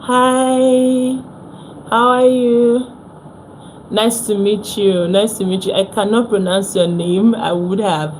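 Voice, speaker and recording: A woman's voice: mid-range voice, fairly even intonation, slow, darkish tone, slight background noise. A young Nigerian woman with a Nigerian accent, hosting a casual phone live stream, chatting with viewers and repeating herself.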